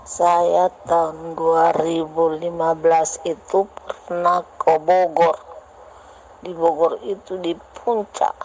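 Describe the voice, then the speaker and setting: A middle-aged woman speaking as a caller asking a spiritual teacher for advice, halting and earnest, pleading as she confides. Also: fairly low pitch, little pitch movement, a little mellow, slight background noise, poor audio. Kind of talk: personal confession and plea.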